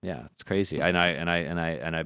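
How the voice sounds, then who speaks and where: Low-voiced man musing on a podcast. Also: steady tone, quick pace, sharp tone, clean tone, no echo, clean studio sound. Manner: thoughtful, builds ideas with many fillers and repeats. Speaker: podcast co-host. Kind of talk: casual podcast discussion.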